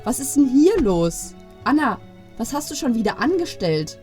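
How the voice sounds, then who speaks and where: A woman's voice: a little high, sing-song, moderate pace, darkish tone, soft background music. A woman playing a stern, exasperated mother who scolds reproachfully in a children's audio play. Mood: scolding.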